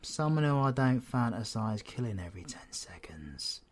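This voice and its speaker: A British man playing a cocky, menacing, flirtatious supervillain in an audio roleplay, husky and sardonic, often dropping to breathy whispers. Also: mid-range voice, very animated, normal speed, close to an everyday voice. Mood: exasperated, scheming. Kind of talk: scripted audio roleplay, ASMR drama.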